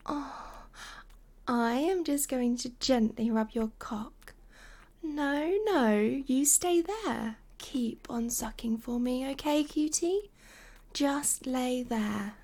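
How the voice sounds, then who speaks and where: A woman playing a doting, seductive lover in an intimate adult audio roleplay, breathy and coaxing, often slipping into whispers. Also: high-pitched, dark tone, exaggerated voice. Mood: tender, teasing.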